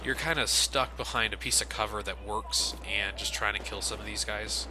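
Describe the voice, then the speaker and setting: A man's voice: on the low side, fairly even intonation, normal speed, background noise. A man giving casual, joking, sarcastic commentary as he plays a video game, swearing freely. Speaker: Let's Play commentator playing the game. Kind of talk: casual gameplay commentary with profanity. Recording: game gunfire behind the voice. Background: video game gunfire and ambience.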